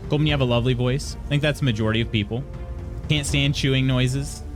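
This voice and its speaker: A young adult man streaming live, reading out chat messages and answering them in a halting, chatty way with frequent restarts. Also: medium pitch, steady tone, music in the background.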